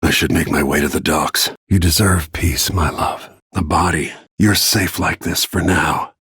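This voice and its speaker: An actor playing a grim, vengeful middle-aged assassin in a video game, speaking tersely in a gravelly, husky voice. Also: male voice, steady tone, a bit bright, no room sound, studio quality, full character voice. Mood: grim, brooding. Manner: low-key, grim, terse, deliberate.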